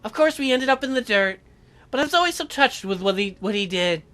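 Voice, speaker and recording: A man's voice: high voice, expressive, a little fast, bright, forceful tone. A man voicing a sweet, apologetic teenage girl, reading visual novel dialogue aloud. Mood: wistful.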